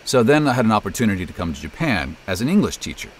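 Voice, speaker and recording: A man's voice: lowish voice, sing-song, very fast, slight background noise, low-quality recording. A middle-aged American man chatting casually in an interview as a voice actor and narrator. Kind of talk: casual interview, telling career story.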